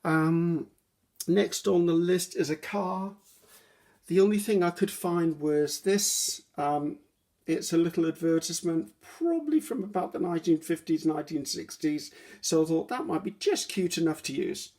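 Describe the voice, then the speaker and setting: Husky-voiced chatty woman crafter. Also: lowish voice, animated, moderate pace, dark tone. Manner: rambling, self-correcting, frequent ums. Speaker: craft video host. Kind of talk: casual chatty craft walkthrough. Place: home craft room at a cluttered table.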